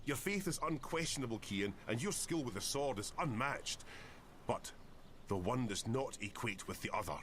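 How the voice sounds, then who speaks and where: An actor playing a stern, cynical older sword master who scolds bluntly in a fantasy game. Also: male voice, medium pitch, animated, normal speed, a bit bright, a little hiss or hum, close to an everyday voice. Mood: stern, measured.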